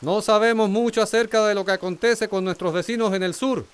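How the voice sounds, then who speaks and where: High, clear-voiced governor acted by a man. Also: fairly even intonation, brisk, lightly acted. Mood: official, placating. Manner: formal, oratorical. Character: male provincial governor placating anxious townspeople. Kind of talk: radio drama acting.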